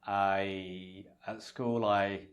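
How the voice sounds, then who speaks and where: A man from with a low, deep voice, chatting as a podcast guest. He thinks aloud, circling back with fillers and restarts, and his was Punjabi. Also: steady tone, drawn-out words, a little hiss or hum. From London, England. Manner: thoughtful, rambling with many fillers. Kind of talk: casual interview chat.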